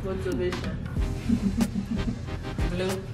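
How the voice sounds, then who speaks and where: A woman's voice: fairly low pitch, flat delivery, very slow, over loud music. Joking woman in her twenties. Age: 20s. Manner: joking, profane teasing. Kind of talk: casual chat.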